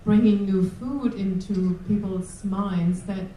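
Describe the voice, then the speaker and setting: A German-accented woman talks on a panel, pausing and filling gaps with hesitant sounds. Her voice is mellow, and it reaches the mic from a distance in a roomy hall with faint background noise. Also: mid-range voice, fairly even intonation. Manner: hesitant, many ums, restarts. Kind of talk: panel discussion. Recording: roomy room audio from a distance.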